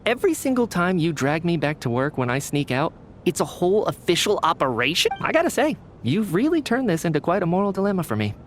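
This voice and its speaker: A wry, sardonic young soldier. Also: male voice, a little high, big pitch swings, fast talker, soft background music. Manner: dry, teasing sarcasm. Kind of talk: game cutscene voice acting.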